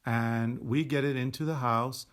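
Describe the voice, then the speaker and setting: A chatty middle-aged man from Sweden, a native Swedish speaker, talks in a relaxed podcast chat. His pitch sits at an ordinary middle level. He explains things at length, with plenty of fillers and restarts. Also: measured pace, smooth. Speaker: podcast co-host. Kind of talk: casual chat while knitting. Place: indoors at a table.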